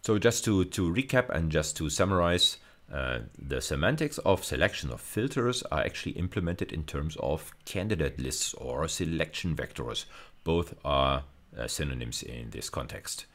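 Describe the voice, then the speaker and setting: A man with an extremely low-pitched voice lectures on technical material. He explains step by step, pausing and restating phrases as he goes. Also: animated. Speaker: university lecturer.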